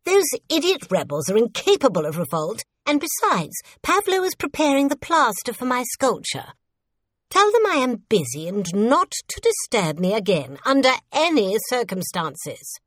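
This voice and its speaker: A haughty, vain storybook queen, as a woman narrator puts her on, dismisses everyone with clipped, imperious words. Her pitch is lifted and very mobile, with a slightly husky edge. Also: huge pitch swings, moderate pace, dry recording, clean studio sound. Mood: haughty, irritated.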